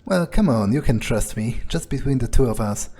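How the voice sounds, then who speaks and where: Mellow, musing man role-playing a game. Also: mid-range voice, expressive, moderate pace, husky. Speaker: gaming video creator role-playing the player character. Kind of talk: in-character game playthrough commentary. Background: game music.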